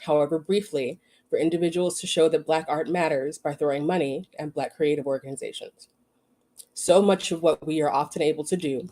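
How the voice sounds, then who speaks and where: An awards organizer reading a prepared formal speech in a solemn, measured and earnest way. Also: medium pitch, a little mellow.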